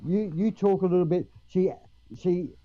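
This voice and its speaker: A man's voice: high voice, moderate pace, narrow, tinny audio. An Australian man chatting casually with the other people on a livestream call. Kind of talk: casual chat.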